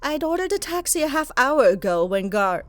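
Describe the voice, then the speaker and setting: A woman's voice: sits high, sing-song, moderate pace, dry recording, faint music behind. A woman playing a cold, cruel pony wife in an audio drama, speaking with contempt and dripping disappointment. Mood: bitter contempt.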